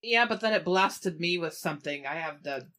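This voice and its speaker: A woman's voice: on the low side, lively intonation, moderate pace, a bit bright, pitched below the performer's own voice. Woman playing Wynn, a hesitant, weary adventurer. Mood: pained, subdued.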